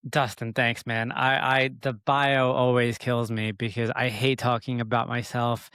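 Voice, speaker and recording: A middle-aged man telling his personal story as a podcast guest in an earnest, measured, reflective way, with frequent pauses. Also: mid-range voice, flat delivery, a bit bright, clean tone, no room sound, clean studio sound. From born in Egypt, emigrated as a child.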